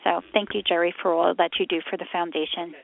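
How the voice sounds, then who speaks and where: A woman's voice: fairly low pitch, fairly even intonation, fast talker, gravelly, dry recording. A woman speaking informally and upbeat, making an announcement as a foundation founder over a phone line. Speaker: foundation founder, show regular. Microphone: telephone call. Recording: narrow, tinny phone line.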